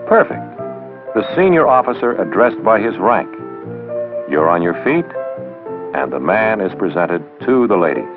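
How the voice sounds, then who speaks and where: A man's voice: on the low side, very animated, normal speed, pauses often. A man narrating a 1960s training film, instructing with wry, sarcastic asides. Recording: dull old film soundtrack with music. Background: background music.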